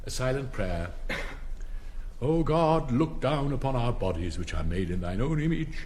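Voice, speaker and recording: An actor playing an elderly, pompous public-school headmaster with an upper-class English accent, declaiming ceremonially in a radio drama. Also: male voice, mid-range voice, sing-song, normal speed, a little mellow, close to an everyday voice. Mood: lofty, reverent. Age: older. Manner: pompous, declamatory, sonorous oratory with stern asides.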